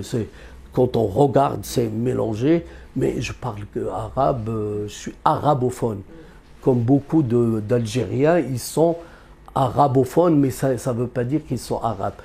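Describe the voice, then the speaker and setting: A man giving an unscripted interview as an economist, earnest and halting, with many fillers and restarts. He grew up in Algeria and has Berber roots. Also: mid-range voice, creaky, dark tone, breathy edge.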